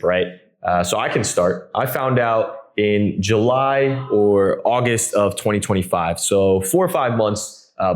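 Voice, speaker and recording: Relaxed young man hosting a podcast. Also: fairly low pitch. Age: 20s. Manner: relaxed, frequent "uh" fillers, friendly. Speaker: podcast host. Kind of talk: casual podcast chat.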